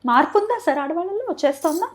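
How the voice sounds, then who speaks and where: A woman's voice: very high-pitched, expressive, quick pace. A woman with a Telugu accent hosting a conversational interview, putting questions to a guest. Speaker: interviewer, host.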